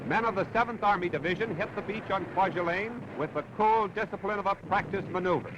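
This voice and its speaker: High-pitched American 1940s newsreel man, noisy recording. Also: quick pace, highs cut off. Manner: dramatic, declamatory. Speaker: newsreel narrator. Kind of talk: 1940s wartime newsreel narration, scripted.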